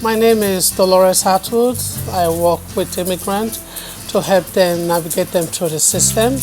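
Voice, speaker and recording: A woman's voice: lowish voice, unhurried. Woman settlement worker talking over music. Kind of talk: unscripted interview talk.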